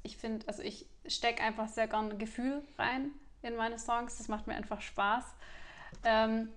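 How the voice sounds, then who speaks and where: Twenties singer, Swabian lilt, high and level voice, relaxed and giggly. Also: female voice, measured pace. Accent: Swabian German. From Swabia, Germany. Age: 20s. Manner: relaxed, laughs often, many fillers. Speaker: singer and influencer. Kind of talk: casual interview answers.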